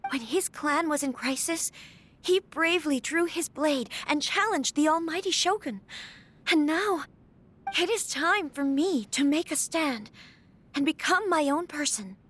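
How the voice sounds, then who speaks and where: A woman's voice: sits high, fairly bright tone. An actress playing a polite young noblewoman and clan heiress in a video game, earnest and formal in speech. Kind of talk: scripted video game character dialogue.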